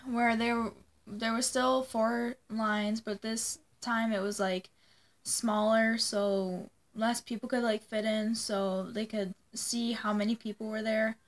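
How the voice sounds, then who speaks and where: A young woman telling a personal story to viewers in a casual, informal way, often dropping "like" into her sentences. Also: a little high. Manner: informal, peppers speech with "like". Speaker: vlogger.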